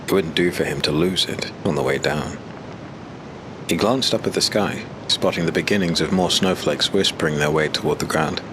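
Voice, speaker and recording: Very deep-voiced male narrator. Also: moderate pace, noisy background. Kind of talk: scripted audiobook narration.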